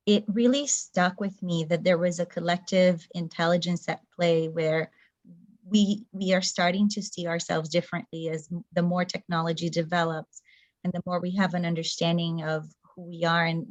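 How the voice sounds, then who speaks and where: A woman's voice: lowish voice, no room sound, lo-fi sound. A middle-aged woman whose first language is Portuguese gives a thoughtful talk over a video call, with frequent fillers and restarts. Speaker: presenter.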